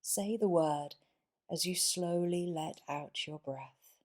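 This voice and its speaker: A woman leading a guided yoga nidra meditation in a soothing, measured way, pausing between short phrases. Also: on the low side, darkish tone.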